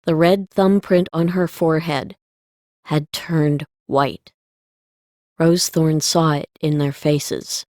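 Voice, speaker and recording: A woman's voice: low-pitched, fairly even intonation, takes it slowly, a little mellow, no echo, studio quality. A woman narrating a scripted story as an audiobook reader.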